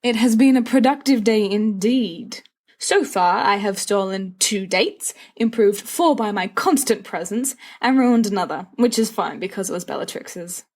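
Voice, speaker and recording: A woman's voice: medium pitch, moderate pace, darkish tone, lightly acted. Woman doing a cocky teen wizard boy from a storybook, dramatic, teasing, mock-grand. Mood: gleeful. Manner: theatrical, teasing, flowery speech. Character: dramatic, scornful, mischievous teenage wizard schoolboy.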